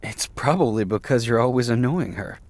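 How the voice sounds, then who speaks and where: A man's voice: medium pitch, normal speed, a little mellow, dry recording, lightly acted. A man playing an easygoing young friend in an audio roleplay, casual and drily teasing. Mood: dry, teasing. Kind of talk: interactive audio roleplay acting.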